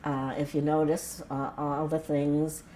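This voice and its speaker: A woman's voice: low voice, little pitch movement, measured pace, mellow, slight background noise. An elderly woman, a retired nurse talking frankly and thoughtfully at her home in a documentary interview. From Brooklyn, New York. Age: 80s. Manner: frank, plain-spoken and reflective. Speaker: retired nurse and death cafe organizer.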